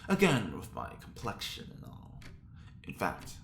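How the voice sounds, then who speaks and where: Haughty, theatrical fashion icon, self-admiring, lively inflection, echoey recording with faint noise. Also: male voice, on the low side, measured pace, heavily acted. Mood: musing, vain. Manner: languid, pompous, self-admiring. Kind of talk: audio drama dialogue acting. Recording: roomy echo, faint noise.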